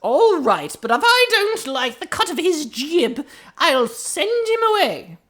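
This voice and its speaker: A prim old granny of ninety, fussing and disapproving in drawn-out phrases. The voice sits very high, in the manner of a comic radio play. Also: female voice, sing-song, fairly bright tone, heavily acted. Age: 90s. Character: fussy ninety-year-old grandmother detective, old-fashioned and disapproving.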